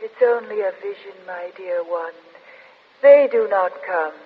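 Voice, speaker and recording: A woman's voice: mid-range voice, measured pace, phone-quality sound, lightly acted. An actress playing a man's ghostly beloved, a tender and sorrowful vision, in solemn vintage radio horror style. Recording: thin band-limited old radio, faint noise.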